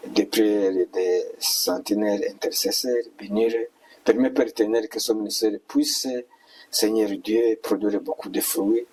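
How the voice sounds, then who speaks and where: Guy preaching, raspy voice, scolding n repeating himself, mic sounds kinda far. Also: fairly low pitch, fairly even intonation, unhurried, darkish tone. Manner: admonishing, repetitive rhetorical questions. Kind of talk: exhortatory sermon and prayer.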